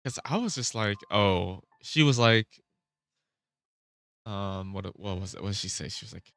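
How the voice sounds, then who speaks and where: A man's voice: on the low side, lively intonation, unhurried, a bit bright, dry recording. A man chatting casually on a vlog, rambling and restarting his sentences, swearing now and then. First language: Vietnamese. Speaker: vlogger.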